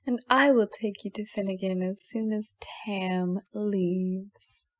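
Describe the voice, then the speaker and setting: A woman's voice: medium pitch, steady tone, slow pace, no room sound, clean studio sound. A woman playing a sly, condescending fairy creature in an audio drama, taunting with endearments. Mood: silky, taunting.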